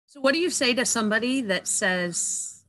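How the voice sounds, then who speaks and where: A woman's voice: fairly high pitch, lively intonation, normal speed, fairly bright tone. A woman hosting an interview, chatty and playful and gushing with praise for her guest. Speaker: interview host. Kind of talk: casual interview chat.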